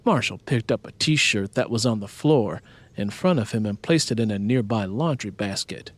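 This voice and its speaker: A man's voice: on the low side, lively intonation, normal speed. A man reading scripted audiobook fiction as its narrator.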